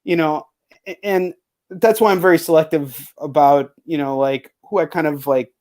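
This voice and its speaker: A man's voice: fairly high pitch, fairly even intonation, normal speed, darkish tone. A man hosting a casual livestream chat, talking in a rambling, slangy way and swearing freely. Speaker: livestream host and indie comic creator.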